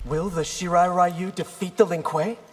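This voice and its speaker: A man's voice: high-pitched, fairly even intonation, moderate pace, roomy sound, distant mic, faint music behind, natural voice. An actor playing a brash, teasing male fighter in a video game, with a cheeky, questioning manner. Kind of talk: video game character dialogue.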